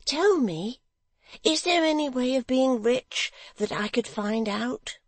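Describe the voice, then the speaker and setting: A woman's voice: a little high, expressive, measured pace, a little mellow, no room sound, pitched above the performer's own voice. A woman voicing an eager, simple-minded young man who talks in a breathless, wondering and pleading way.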